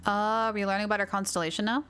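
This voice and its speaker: A woman's voice: mid-range voice, level tone, rapid-fire, a bit bright. A woman game streamer giving casual live commentary, playfully reacting aloud to what happens. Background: game music.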